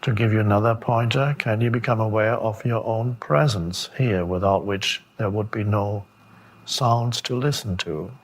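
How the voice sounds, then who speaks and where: Man, lowish husky voice, spiritual teacher, meditation talk, contemplative, halting with long pauses. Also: low-quality recording.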